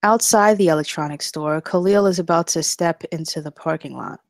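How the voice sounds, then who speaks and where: A woman with a notably low voice reads screenplay action lines. It is scripted narration for a table read. The sound is dry, with little room around it. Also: moderate pace, vocal fry, no room sound. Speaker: stage directions narrator.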